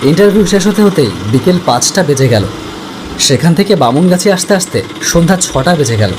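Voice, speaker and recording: A man giving scripted first-person narration for an animated story. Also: on the high side, fairly quick, noisy background. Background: music.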